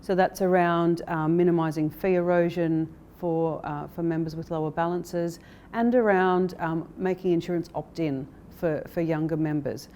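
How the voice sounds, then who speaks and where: A woman speaking as a company director in a corporate interview, explaining things in a measured way. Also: fairly low pitch, fairly even intonation, mellow, a little hiss or hum. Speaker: interviewee, managing director of an investment firm.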